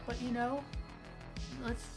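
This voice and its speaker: A woman's voice: on the high side, flat delivery, slow, music in the background. A woman playing a tabletop roleplaying game, bantering casually in a bossy, joking manner.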